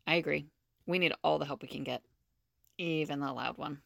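An actress playing a calm, serious, sensible seer in an audio drama, measured and earnest. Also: female voice, low-pitched, creaky, no room sound, clean studio sound, full character voice.

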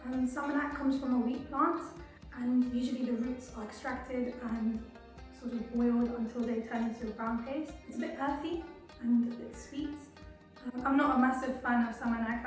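A woman's voice: fairly high pitch, fairly even intonation, normal speed. A young woman from England explaining her traditions in a hesitant, thoughtful way, full of ums. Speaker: interviewee. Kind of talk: casual explanation to camera. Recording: roomy, distant mic, music underneath.

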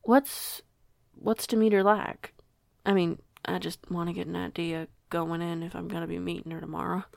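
A woman's voice: fairly low pitch, flat delivery, moderate pace, gravelly, dry recording, studio quality. A woman playing a flustered, curious young newcomer in an audio roleplay, with a hesitant, rambling way of talking. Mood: surprised, curious. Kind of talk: audio roleplay acting, casual dialogue.

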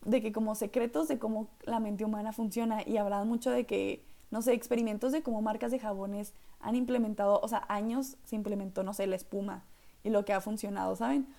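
A woman's voice: mid-range voice, steady tone, brisk, gravelly, mellow. A young woman with a northern Mexican accent hosting her own podcast, talking in a chatty, rambling way with lots of fillers. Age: young adult. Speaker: podcast host. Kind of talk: casual solo podcast monologue.

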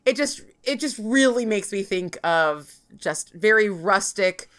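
A woman's voice: mid-range voice, expressive, a little slow, fairly bright tone, clear, resonant tone. A woman co-hosting a podcast in casual chat, enthusiastic and laughing often. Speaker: podcast co-host.

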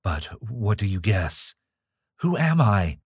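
A man's voice: fairly low pitch, expressive, normal speed, darkish tone, husky, dry recording, studio quality. A man voicing a frightened young noblewoman who pleads urgently and raises her voice in a gothic storybook reading. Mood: imploring. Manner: pleading, urgent, near screaming.

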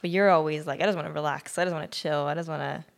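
Low, gravelly young woman from Sweden. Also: very fast. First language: Swedish. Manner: relaxed, chatty, frank with casual swearing. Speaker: guest. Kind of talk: casual chat.

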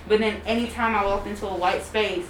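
Loud, emphatic young woman in echoey room. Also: medium pitch, steady tone. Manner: emphatic, loud and unapologetic, drawn-out phrasing. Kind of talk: casual group discussion. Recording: echoey room, distant mic, background noise.

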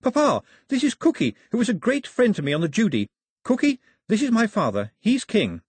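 Young princess played by a man, high voice, eager, spirited, lively melody, storybook. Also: fairly quick, slightly rough, no echo, studio quality, heavily acted. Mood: proud introducing. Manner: bright, eager, polite.

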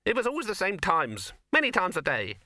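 A man's voice: high-pitched, wildly swooping pitch, brisk, pushed and bright, no echo, studio quality, pitched above the performer's own voice, exaggerated voice. A man voicing a small, feisty talking rabbit with a sardonic, streetwise manner that takes offence easily, in a storybook reading. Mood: explaining.

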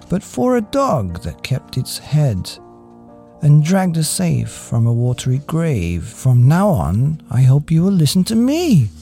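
A woman reading a rhyming children's storybook as a podcast storyteller, expressive and measured. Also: a bass voice. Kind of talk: scripted storybook narration in rhyme.

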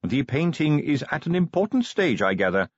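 A man voicing a tactful, unflappable butler in an audiobook, formal, measured and cautiously polite. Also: a little high, big pitch swings, husky, no echo, highs cut off, pitched above the performer's own voice. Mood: formal, apologetic. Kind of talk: audiobook character voice.

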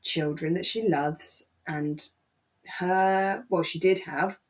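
Young female co-host, slightly low voice, casual recap chat with expressive, exclamatory storytelling. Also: slow pace. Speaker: podcast co-host.

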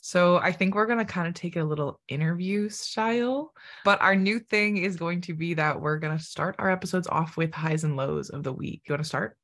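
A woman's voice: on the low side, fairly quick, a bit bright. A young woman hosting a casual podcast and guiding the interview, easygoing and hedging her words often. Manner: easygoing, hedging with "kinda" and "I feel like".

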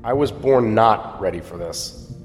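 A man's voice: on the low side, animated, unhurried, long reverb tail, faint music behind. An actor playing a wry, awestruck man newly arrived in a strange city, in an audio drama with a casual, wisecracking manner. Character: awestruck, wry, rough-edged male newcomer to an undersea city.

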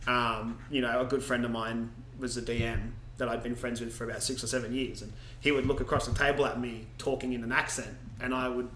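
A man's voice: medium pitch, brisk, hardly any pauses, echoey room, a little hiss or hum, poor audio. A man co-hosting a casual podcast about tabletop role-playing, telling stories and laughing often. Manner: laughs often, relaxed storytelling. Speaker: podcast co-host. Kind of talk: casual chat.

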